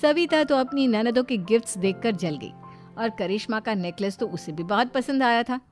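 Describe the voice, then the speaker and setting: Woman, high voice, scripted storyteller narration, cartoon family drama, faint music behind. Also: expressive, moderate pace.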